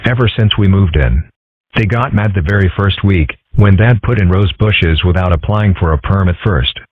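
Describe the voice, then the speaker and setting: A man voicing a calm, philosophical young male fox in a comic dub, husky, measured and deadpan. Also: low-pitched, no room sound. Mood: calm, explaining. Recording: muffled narrow-band lo-fi audio.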